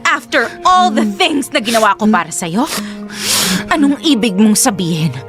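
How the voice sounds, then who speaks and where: A woman's voice: on the high side, sing-song, moderate pace, a bit bright. An actress playing a domineering, judgmental middle-aged mother in a Tagalog radio drama, scolding and melodramatic. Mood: wounded. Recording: faint background music.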